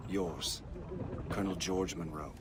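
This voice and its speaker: An actor playing a grave, honourable older army colonel in a video game, speaking formally and with measured courtesy. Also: male voice, low voice, very noisy. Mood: solemn. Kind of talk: video game cutscene acting.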